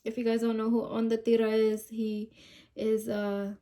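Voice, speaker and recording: A woman's voice: fairly high pitch, monotone, moderate pace, warm tone. A sick woman with a stuffed nose, sniffling as she gives casual true-crime commentary, reading news quotes and asking viewers questions. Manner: chatty, asks viewers questions.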